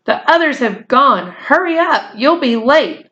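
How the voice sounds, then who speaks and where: A woman's voice: sits high, lively intonation, unhurried, pushed and bright, lo-fi sound, pitched above the performer's own voice, heavily acted. A woman playing an impatient storybook mother, calling out to her son. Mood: impatient, urgent. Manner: raised, sing-out calling.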